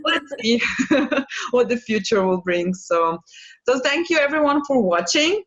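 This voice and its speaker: A woman speaking English with a Slovene accent hosts a relaxed chat about healing sessions. She hesitates, restarts her sentences and laughs lightly between thoughts. Her voice comes through a dull, lo-fi video call line. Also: fairly high pitch, measured pace. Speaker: quantum healing practitioner, co-host. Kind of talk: casual chat to viewers.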